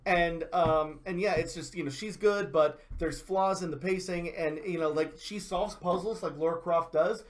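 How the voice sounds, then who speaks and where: A man's voice: fairly high pitch, moderate pace, hardly any pauses. A man co-hosting a show, talking through a review in an informal, conversational, loosely phrased way. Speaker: co-host of a video game and movie show. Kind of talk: casual review chat.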